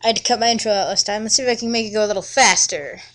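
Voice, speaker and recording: A woman's voice: medium pitch, rapid-fire, fairly bright tone. A woman host giving a dramatic, comic reading of fan fiction with theatrical, exaggerated emphasis. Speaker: host and reader.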